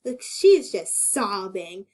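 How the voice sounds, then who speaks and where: Slow, exasperated woman giving casual commentary. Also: medium pitch, sing-song, darkish tone. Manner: exasperated, slangy. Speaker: amateur reader and commentator.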